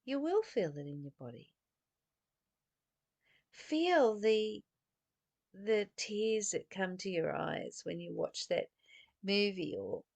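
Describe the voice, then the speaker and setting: A woman giving an intimate, meditative spiritual teaching, speaking gently and affectionately to the listener in deliberate short phrases with emphatic stresses. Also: medium pitch, vocal fry, no room sound.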